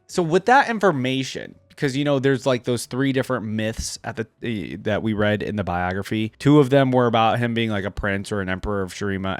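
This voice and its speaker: A man's voice: lowish voice, no echo. A man hosting a fan reaction video, reading aloud and commenting in an informal, conversational way as he thinks aloud.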